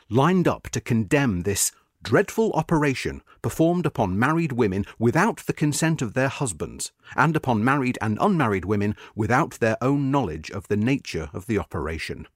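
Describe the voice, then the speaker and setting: Male narrator for magazine history feature: formal, measured, varied melodic intonation, dry close studio sound. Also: mid-range voice, no room sound. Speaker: narrator reading a magazine article. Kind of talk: scripted narration of a history article.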